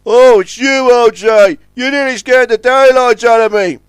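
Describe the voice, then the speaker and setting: A man playing a jumpy, superstitious tugboat in a children's story, with a shrill, scolding manner. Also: unhurried, clean tone, dry recording. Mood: startled, scolding.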